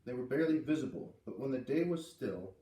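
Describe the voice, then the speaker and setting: A man reading a children's novel aloud as an expressive storyteller. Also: medium pitch, quick pace. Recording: roomy echo, distant from mic.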